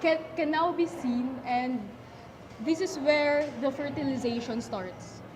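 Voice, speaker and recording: A woman giving a halting scripted explanation as a presenter in a puppet show. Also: sits high. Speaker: student presenter playing a doctor. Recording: clearly noisy amateur recording.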